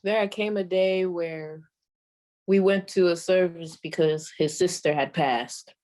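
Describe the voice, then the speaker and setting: Casting: actress as sassy older female radio comic, 1940s stand-up, unhurried drawl, low-leaning pitch, deadpan griping. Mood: dry teasing. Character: sassy old comedienne griping about her ancient husband. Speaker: comedienne performer.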